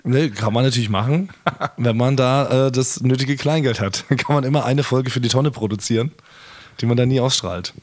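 A hoarse man talking in a relaxed podcast chat, mid-pitched and dry in his telling. He sounds worn in the throat and keeps a deadpan tone as he tells his stories. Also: normal speed. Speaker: podcast co-host. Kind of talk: casual chat. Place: hotel or flat, recording remotely.

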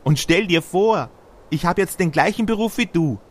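A man's voice: high voice, animated, moderate pace. A man voicing a friendly, naive snowman in a fairy-tale audio play, polite and guileless in manner. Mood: pleased. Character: friendly, naive, good-natured male snowman.

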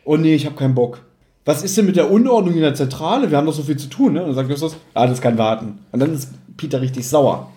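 A man's voice: on the high side, lively intonation, fast talker, dark tone. A man in his thirties co-hosting a podcast, casually discussing an audio drama and acting out quotes as he retells. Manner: acts out quotes while retelling. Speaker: podcast co-host.

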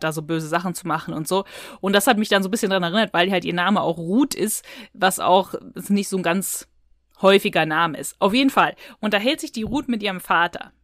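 A woman's voice: lowish voice, no echo, clean studio sound. A woman co-hosting a podcast, chatting casually and retelling things in a colloquial, rambling way. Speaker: podcast co-host. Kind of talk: casual podcast chat, reviewing audio dramas.

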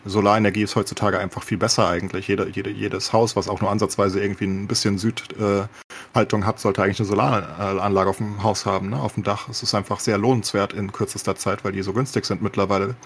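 A man's voice: lowish voice, a little hiss or hum. A man co-hosting a podcast in a casual, conversational discussion. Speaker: podcast co-host.

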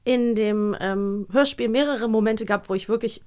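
Eine Frau moderiert einen Hörspiel-Podcast und kritisiert offen im lockeren Plauderton, mit vielen Füllwörtern. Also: female voice, a little high, normal speed, a little mellow, clean tone. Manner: candid, critical, filler-heavy. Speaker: podcast host. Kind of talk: casual podcast review chat.

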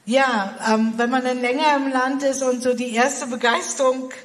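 A German woman speaks as an interview guest, slightly high in pitch and halting, with frequent fillers and restarts. Her voice rings with a long hall reverb. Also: fairly even intonation. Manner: hesitant, many fillers and restarts. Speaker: missionary guest. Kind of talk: interview conversation.

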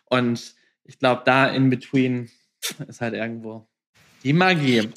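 Man, interview host, medium pitch, conversational, informal, occasional English words. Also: unhurried, a bit bright.

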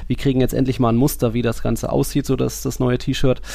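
Gravelly, dry-sounding casual male podcaster. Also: medium pitch, flat delivery, a little fast, dark tone, no echo. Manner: colloquial, loose, chatty. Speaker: podcast co-host. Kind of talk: casual football podcast chat.

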